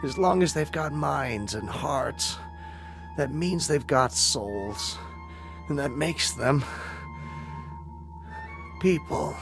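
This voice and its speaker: An actor playing a determined, idealistic injured astronaut in a radio-style drama, earnest and persuasive. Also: male voice, medium pitch, big pitch swings, a little slow. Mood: weary, earnest. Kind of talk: dramatic radio-play acting. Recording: music playing behind the voice.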